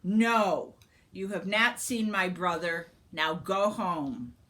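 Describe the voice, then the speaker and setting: A woman's voice: lowish voice, slow pace, a bit bright. A woman voicing a stern, shrewd sister of a spirit in a storybook, commanding and probing as she questions visitors.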